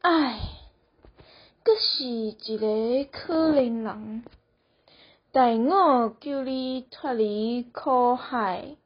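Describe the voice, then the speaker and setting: A teenage girl playing a solemn, merciful bodhisattva with a measured, sighing manner in an amateur radio drama. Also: fairly high pitch, breathy edge, full character voice. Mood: compassionate. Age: teens. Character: solemn, merciful bodhisattva, a slow-speaking deity. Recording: muffled, roomy lo-fi recording.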